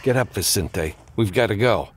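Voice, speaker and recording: Audiobook role: commanding freelance pilot and gunslinger, gruff, curt and deep, played by a male narrator. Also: animated, moderate pace, a little hiss or hum, lightly acted. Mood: urgent, commanding. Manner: terse, urgent orders. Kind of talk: audiobook character dialogue.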